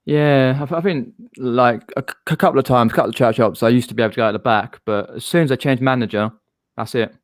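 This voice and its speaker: A man's voice: mid-range voice, quick pace, no room sound, clean studio sound. A man with a southern English accent hosting a casual live-stream chat, rambling and chatty with frequent restarts. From southern England.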